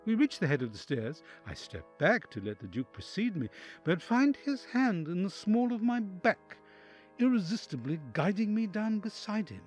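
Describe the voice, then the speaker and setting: Conscience-torn envoy for audio drama , male actor: husky, widely varied pitch, anguished and introspective narration. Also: moderate pace, faint music behind, full character voice. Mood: tense, watchful. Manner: literary, expressive, introspective.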